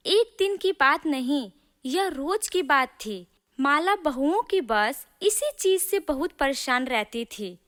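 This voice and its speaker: A woman's voice: high-pitched, animated, slow pace, a bit bright. A woman narrating scripted stories as a storyteller. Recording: clear music bed under narration. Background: music.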